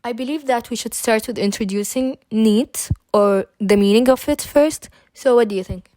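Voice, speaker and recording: Young woman, from Kuwait, student seminar presenter, higher voice, dry close sound. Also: moderate pace, warm tone, no echo. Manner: reads prepared remarks, small restarts.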